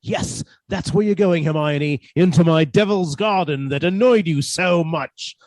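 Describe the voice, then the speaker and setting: A man's voice: on the high side, a little slow, darkish tone, no room sound, lightly acted. A man playing a henpecked husband turned murderer in a radio suspense drama, fussy, precise and politely menacing. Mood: gloating, menacing.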